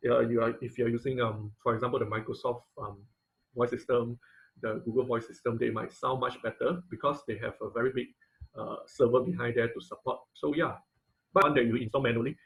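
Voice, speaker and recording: Man, online class instructor, mid-range pitch, informal question-and-answer style, halting delivery, repeated phrases, video-call audio. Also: steady tone, vocal fry, rough recording.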